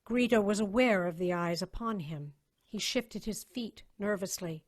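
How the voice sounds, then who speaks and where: A woman's voice: mid-range voice, normal speed. A woman reading scripted science fiction narration as the narrator of a dramatic story reading. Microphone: video call.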